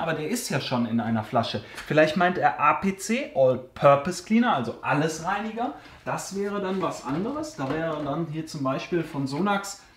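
Casual male video host, fairly high-pitched, rambling Q&A delivery, in a reverberant cellar. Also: a little hiss or hum. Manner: chatty, hesitant fillers, joking asides. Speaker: car care channel host. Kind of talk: casual Q&A answering viewer questions. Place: basement storage room.

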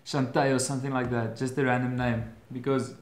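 A young man in his twenties speaks with an Afrikaans accent, chatting casually to a webcam at his desk. He talks hesitantly, often restarting his phrases. His mid-pitched voice bounces around an echoey room. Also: flat delivery, brisk. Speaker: vlogger. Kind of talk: casual Q&A vlog. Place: bedroom at home, indoors.

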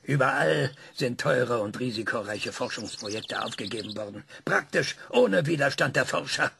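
An actor playing a proud, scornful male scientist in a radio play, lecturing with dramatic emphasis and hushed whispers. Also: mid-range voice, lively intonation, normal speed, gravelly, slight background noise. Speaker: scientist being interviewed.

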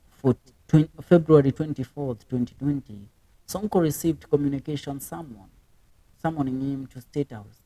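A man's voice: medium pitch, dark tone, no room sound, recorded from a distance, rough recording. A man reading documentary narration, stumbling and restarting words now and then. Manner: reads with slips and restarts. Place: voice-over, not recorded on location.

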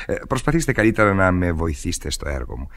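A man's voice: low-pitched, sing-song, fairly bright tone, full character voice. An actor playing a shrewd, jovial male police inspector in an old radio drama, who hesitates and fills his speech with sounds. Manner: hesitant, with many filler sounds. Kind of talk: 1970s Greek radio drama acting. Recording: noisy old radio recording.

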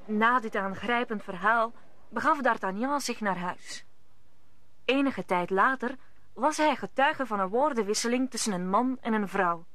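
Lady narrating a radio drama, fairly high bright voice, scripted. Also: unhurried, dry recording.

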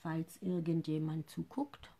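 Woman, fifties, home webcam makeup host; lowish husky voice, rambling and slightly nervous. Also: fairly even intonation, measured pace, dark tone. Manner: chatty, rambling, slightly nervous, often trails off into mumbles. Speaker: makeup seller and live-stream host. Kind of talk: casual live makeup tutorial. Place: living room at home.

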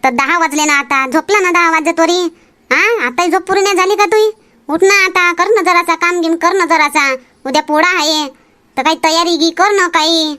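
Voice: extremely high pitch, fairly even intonation, moderate pace, pushed and bright. A performer voicing a busy, nagging village housewife and mother in a cartoon comedy, with a rural Vidarbha Marathi accent.

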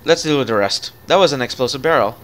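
Bright-voiced man chatting while gaming. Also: medium pitch, animated, fast. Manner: jokey, thinking aloud. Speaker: gamer leading a co-op session. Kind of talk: casual gameplay chat.

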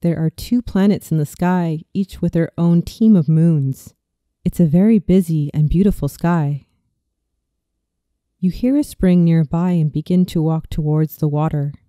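A meditation narrator in their thirties with a deeply mellow, soft-edged tone and a medium pitch. The delivery is soothing and deliberate, reading a scripted visualization. The sound is dry and close, with hardly any room. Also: dry recording. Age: 30s.

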